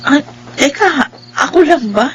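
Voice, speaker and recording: A Filipino woman acting in a radio drama as a blind young wife, gentle, affectionate and apologetic. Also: high voice, animated, measured pace, a little hiss or hum. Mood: hurt, pleading.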